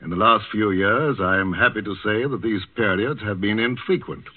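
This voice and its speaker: A man's voice: fairly low pitch, normal speed, phone-quality sound, close to an everyday voice. A man acting an urbane, unflappable psychiatrist with a sardonic, slyly defiant manner in a 1940s radio drama. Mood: wry, composed. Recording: thin, band-limited old radio recording.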